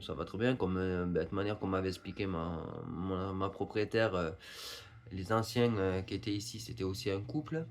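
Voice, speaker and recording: Man, thirties, very low pitch, hesitant, lots of fillers, casual chat. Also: steady tone, normal speed, a little hiss or hum. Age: 30s. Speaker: vlogger's partner.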